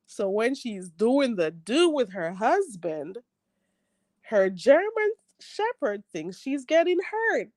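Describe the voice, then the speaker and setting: A woman's voice: on the high side, very animated, takes it slowly, darkish tone, no echo, studio quality. A woman hosting a casual live chat, reading viewers' comments aloud. She is stuffy and a bit nasal, laughs often and talks bluntly. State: stuffy nose. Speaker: livestream host reading chat.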